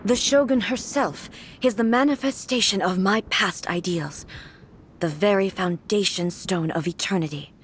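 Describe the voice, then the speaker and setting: An actress playing a stern, regal female warrior goddess who speaks in formal, solemn, measured pronouncements in a game cutscene. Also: mid-range voice, a little hiss or hum, close to an everyday voice. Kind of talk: video game cutscene dialogue.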